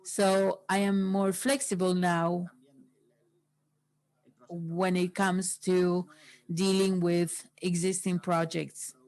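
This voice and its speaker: A woman interpreting a workshop into English, pausing often between phrases. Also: lowish voice, darkish tone, poor audio.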